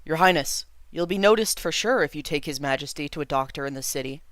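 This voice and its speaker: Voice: medium pitch, fast talker, fairly bright tone, dry recording, studio quality, close to an everyday voice. A reader voicing a loyal young male bodyguard who speaks plainly and practically. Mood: earnest.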